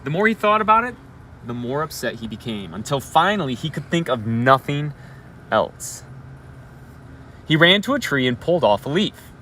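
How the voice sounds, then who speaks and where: An animated man's voice reads a children's bedtime story with big swings in pitch. He often steps out of the tale to comment or put a question to listeners. He is outdoors, with faint traffic noise behind him. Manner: expressive, pausing to ask and comment to listeners. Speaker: elementary school educator reading a bedtime story. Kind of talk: read-aloud storybook narration with asides. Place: outdoors on a school roof at night.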